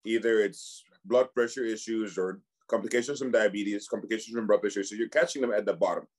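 A man in his forties from Cameroon, a physician, speaks with a somewhat low voice. He talks in an easygoing podcast manner, often stopping to restart a phrase or repeat a word before he finds it. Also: steady tone. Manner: halting, many restarts and repeated words. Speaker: physician and clinic founder, guest. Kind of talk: casual podcast conversation.

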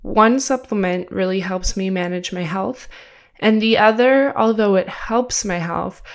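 A woman's voice: medium pitch, unhurried. A young woman with a stuffed-up, congested voice, hosting her own podcast in a chatty, upbeat way and laughing now and then. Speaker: podcast host. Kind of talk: casual solo podcast talk.

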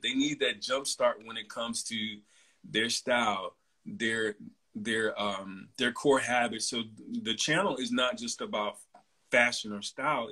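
Forties guy hosting a laid back chat, bright forward voice, lots of you know's. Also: mid-range voice, husky. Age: 40s. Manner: relaxed and chatty, many fillers. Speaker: host. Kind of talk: casual interview chat.

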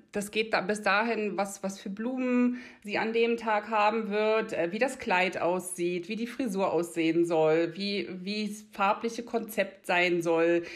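A middle-aged woman from the Berlin area of Germany, chatting at length in careful standard speech as an interview guest who works as a make-up artist. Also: mid-range voice. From Brandenburg near Berlin, Germany. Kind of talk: conversational interview answers.

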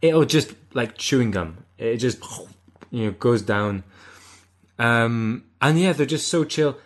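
A man's voice: mid-range voice, lively intonation, slow pace. A man with a Scottish accent chatting casually, rambling and restarting his thoughts. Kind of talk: casual chat.

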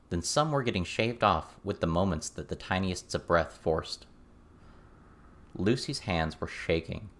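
A man reading scripted fiction as an audiobook narrator, with measured, deliberate phrasing. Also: low voice, a bit bright.

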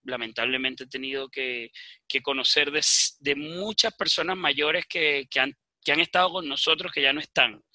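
A man with a Venezuelan accent giving earnest interview answers as a public official, halting at times and repeating phrases for emphasis. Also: fairly high pitch, bright, forceful tone, dry recording. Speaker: municipal official for older people's services.

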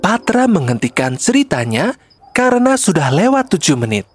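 Female storybook narrator, lively intonation, scripted children's tale, faint music underneath. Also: fairly low pitch, moderate pace, husky.

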